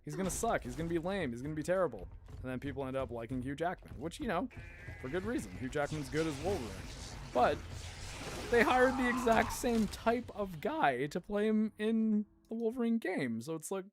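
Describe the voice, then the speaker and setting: Hoarse, chatty male game streamer. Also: high voice, expressive, a little fast, a little hiss or hum. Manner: chatty and rambling, laughs often. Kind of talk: casual gaming commentary.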